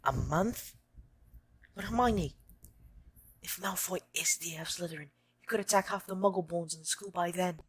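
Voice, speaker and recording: A woman's voice: fairly low pitch, moderate pace, long pauses, close to an everyday voice. A woman playing a worried young schoolboy wizard in an audio play, questioning and concerned.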